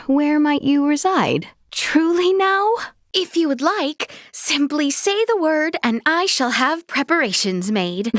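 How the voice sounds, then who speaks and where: Casting: gracious young warrior princess for a fantasy game, formal and earnest, unhurried delivery, close dry sound. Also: female voice, a little high, dry recording. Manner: formal, courtly, measured diction. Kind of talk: video game character dialogue.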